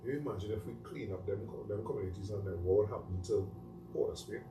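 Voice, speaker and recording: A man's voice: fairly low pitch, quick pace, music in the background. A man with a Trinidadian accent chatting as a talk show guest, telling stories animatedly in Creole phrasing. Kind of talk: casual chat. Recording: echoey room, mic at a distance, faint noise.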